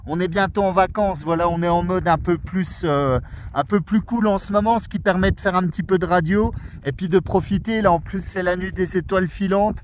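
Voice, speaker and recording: A man chatting on the radio outdoors on a mountain at night, relaxed and friendly as he explains his gear. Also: sits high, fairly quick, a little hiss or hum. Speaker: radio amateur and YouTuber. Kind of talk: casual radio chat.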